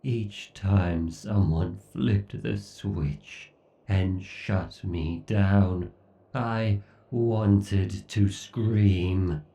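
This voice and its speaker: A deep, gravelly AI god acted out by a man speaks extremely slowly in a horror ASMR roleplay. He is menacing, obsessed and condescending, with theatrical brooding. The sound is roomy and set back from the mic. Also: steady tone, full character voice. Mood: bitter yearning. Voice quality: gravelly, dark, low. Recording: dull, roomy, slightly distant sound.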